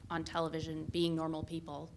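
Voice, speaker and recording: A woman's voice: sits low, monotone, brisk, slight background noise. A woman in the audience asking a careful, earnest question.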